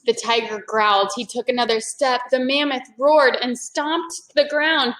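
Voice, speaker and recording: A woman's voice: a little high, fairly even intonation, normal speed, fairly bright tone, rough recording. A woman reading a children's story aloud expressively, as if to a young class.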